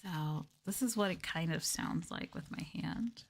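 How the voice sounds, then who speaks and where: Female ASMR streamer: gentle, casual soft talk with fry, near-whisper at times, crinkly trigger sounds and faint noise behind. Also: lowish voice, a little slow. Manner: soft-spoken, often dropping into whispers. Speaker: VTuber streamer hosting an ASMR livestream. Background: crinkling plastic and tapping trigger sounds.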